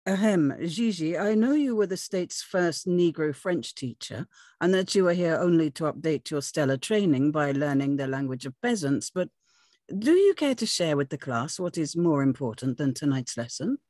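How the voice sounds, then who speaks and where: Woman reading stern low-voiced male teacher. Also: expressive, moderate pace, mellow, dry recording, clean studio sound. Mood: sardonic, forgiving. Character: stern, teasing Haitian male French-and-Creole teacher. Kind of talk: screenplay table read.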